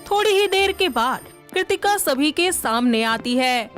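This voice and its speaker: A woman reads scripted story narration. Her voice is high and she goes slowly, with light music behind her. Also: lively intonation, a bit bright.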